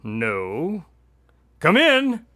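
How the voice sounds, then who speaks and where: Exasperated male sidekick, radio drama storyteller, lightly high pitch, very animated, dry delivery. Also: very slow, a little hiss or hum, exaggerated voice.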